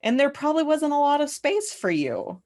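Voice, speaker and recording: A woman coach hosting a podcast, speaking in a calm, advising, affirming way. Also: high voice, moderate pace. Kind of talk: podcast conversation.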